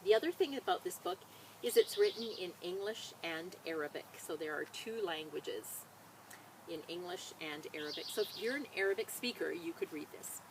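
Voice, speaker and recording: A woman reading a children's picture book aloud in a measured, expressive storytelling manner, with spoken asides. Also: on the low side, background noise. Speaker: storybook reader.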